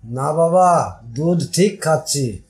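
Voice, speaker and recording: Man playing a slow-spoken, dutiful son, higher-voiced. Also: lively intonation. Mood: soothing. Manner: gentle, deferential, brief replies. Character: dutiful, patient grown son soothing his old father. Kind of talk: Bengali audio story acting.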